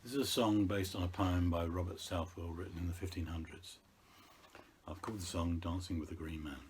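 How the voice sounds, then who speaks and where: A man's voice: sits low, steady tone, fairly quick. A man, a singer-songwriter, introducing a song of his own. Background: plucked guitar.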